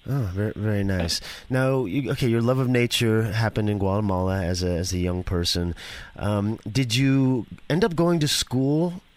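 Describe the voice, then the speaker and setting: A male interview host from New York with a very deep voice. He talks in a chatty, enthusiastic way and wanders into rambling personal asides before he gets to his questions. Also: normal speed. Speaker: radio show host. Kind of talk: casual interview chat.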